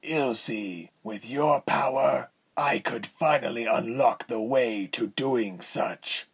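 A man voicing a condescending villain lord in a fantasy story, measured and smooth in manner with a breathy, husky tone. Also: mid-range voice, voice pushed up. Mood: persuasive. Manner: measured, silky, haughty.

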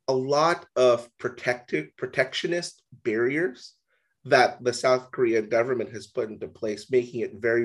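Male co-host, mid-pitched, relaxed rambling delivery, lots of filler "like". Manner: casual, heavy use of "like". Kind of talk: casual business discussion.

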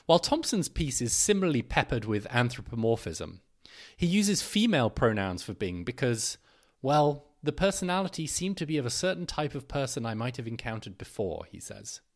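A man's voice: mid-range voice, sing-song, moderate pace. A man hosting a podcast, reading scripted narration and an article aloud.

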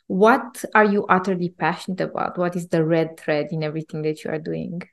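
A woman's voice: on the low side, little pitch movement, fairly quick, creaky. A woman hosting a podcast, reading a scripted introduction and asking enthusiastic, earnest questions. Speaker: podcast host.

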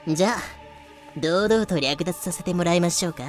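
A woman's voice: fairly low pitch, fairly quick, faint music behind, close to an everyday voice. A woman playing a bloodthirsty yet composed female pirate captain in an audio drama, commanding and teasing. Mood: gleeful, rowdy. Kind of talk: fan-made audio drama acting.

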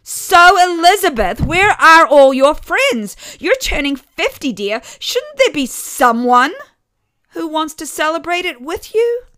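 Lady doing a nagging old mom from an audio story, high and loud, gushy and oblivious, super dry recording. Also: big pitch swings, slow pace, dry recording, exaggerated voice. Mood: prying, nagging. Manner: loud, gushing, pointedly prying. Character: oblivious, nagging elderly mother, cheerfully deluded. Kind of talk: audio short story character voice.

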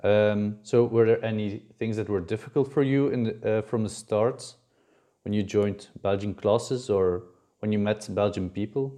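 A man's voice: fairly low pitch, normal speed, dark tone. A young man hosting a podcast, asking questions in a rambling, casual way. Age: young adult. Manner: rambling, with fillers and restarts. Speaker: podcast host. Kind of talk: casual interview.

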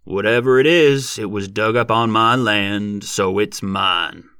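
A man voicing a gruff, irate, shrewd rural American farmer in an audiobook, growling in folksy dialect. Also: on the low side, measured pace, bright, forceful tone, dry recording, clean studio sound. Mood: bossy, firm.

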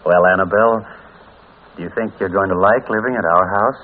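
A man's voice: lowish voice, a little fast, lightly acted. A man playing a kindly, hearty small-town father in an old-time radio drama. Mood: gentle. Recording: crackly narrow-band old radio recording.

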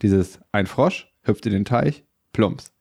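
Guy, low gravelly voice, bit congested, just chatting and rambling on. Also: slow. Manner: relaxed, rambling storyteller. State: slightly congested from a cold. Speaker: podcast co-host. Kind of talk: casual chat.

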